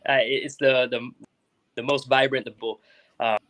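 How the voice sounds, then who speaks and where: A young man from South Florida speaks as a guest on a local talk show. He rambles casually, trips over his own words and restarts often. His voice sits at an ordinary middle pitch. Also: flat delivery, a little slow, a bit bright. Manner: rambling, many restarts and fillers. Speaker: school board member guest.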